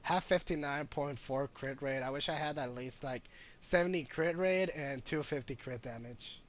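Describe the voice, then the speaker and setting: A man's voice: mid-range voice, normal speed. A man hosting a gaming video with casual, informal commentary, repeating points for emphasis.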